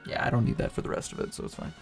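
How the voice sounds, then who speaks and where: A man streaming who deliberately talks in a higher, feminized voice, rambling, swearing and laughing as he chats and tells a joke into a cheap desk mic. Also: over music. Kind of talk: casual stream chat and joke telling. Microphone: cheap desk mic with poor pop filter.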